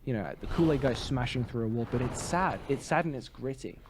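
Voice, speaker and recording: A man's voice: medium pitch, lively intonation, a little fast. A man co-hosting a podcast, chatting casually about film and TV. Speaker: podcast host. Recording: faint background noise.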